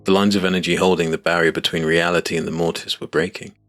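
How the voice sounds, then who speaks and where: A man narrates a dramatic first-person story in a low voice. His delivery is scripted, grave and measured, with soft music playing underneath. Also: little pitch movement.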